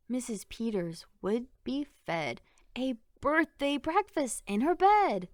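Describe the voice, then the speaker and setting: A woman reading a children's picture book aloud in an expressive, theatrical storytelling manner with emphatic phrasing. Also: on the high side, gravelly, no room sound, clean studio sound.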